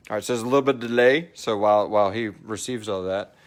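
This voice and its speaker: A man's voice: on the low side, fast. A man hosting a casual live question-and-answer chat, reading out listener questions in a jokey way. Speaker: host and podcast creator reading listener questions. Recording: roomy, echoey live recording with background noise.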